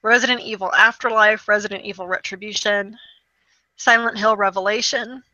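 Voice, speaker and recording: A woman's voice: medium pitch, fairly even intonation, moderate pace, sharp tone, no room sound, dull audio. A woman co-hosting a video game show in casual chat, running through a list with wry, dismissive asides.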